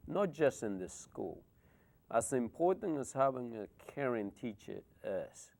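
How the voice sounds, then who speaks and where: A middle-aged man from the Republic, an education official speaking earnestly as a talk show guest. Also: low voice. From Dominican Republic. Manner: earnest, warm-hearted explanations with fillers. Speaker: school superintendent. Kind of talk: interview guest.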